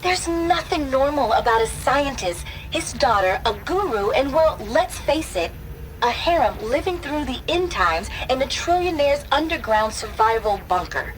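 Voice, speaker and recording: A woman's voice: high voice, lively intonation, moderate pace, rough recording. A woman playing an anxious, disillusioned teenage girl confiding a diary in a video game, uneasy and a little sardonic. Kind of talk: game audio diary narration. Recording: faint music behind the voice.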